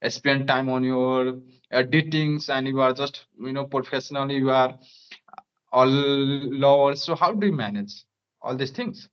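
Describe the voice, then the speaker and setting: A man's voice: mid-range voice, a little slow, clear, resonant tone. Hesitant young man, dull line. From Nepal. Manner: hesitant, many fillers. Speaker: guest. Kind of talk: casual conversation practice.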